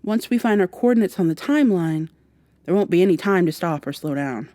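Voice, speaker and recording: Actress as earnest female time traveler for ASMR drama: mellow, close and dry, protective, slightly flustered and long-winded. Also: on the low side, expressive, a little fast, dry recording, close to an everyday voice. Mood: earnest, explaining. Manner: hesitant, rambling, gently reassuring, some whispering. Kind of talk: ASMR roleplay, softly acted monologue to the listener. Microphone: close binaural microphone.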